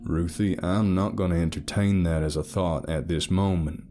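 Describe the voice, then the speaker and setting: A man's voice: low-pitched, fairly even intonation, moderate pace, dark tone, faint music behind, natural voice. A man voicing a stern middle-aged father who barks orders. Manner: barking and commanding.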